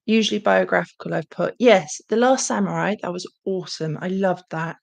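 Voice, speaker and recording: A young woman hosting a casual livestream Q&A, with a croaky voice and a chatty manner full of ums. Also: fairly low pitch, brisk. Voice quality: croaky, gravelly. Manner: chatty, warm thanks, frequent ums. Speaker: channel host.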